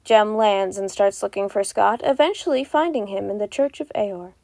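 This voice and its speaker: A woman's voice: medium pitch, moderate pace, gravelly, dark tone, no echo. A woman narrating a fan fiction story as an amateur audio reading. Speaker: podfic narrator.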